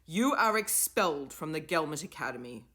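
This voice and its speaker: A woman's voice: sits low, expressive, moderate pace. A woman voicing a furious male professor. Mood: cold, stern. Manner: clipped, then shouting in rage. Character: stern male professor, head of an academy, erupting in fury.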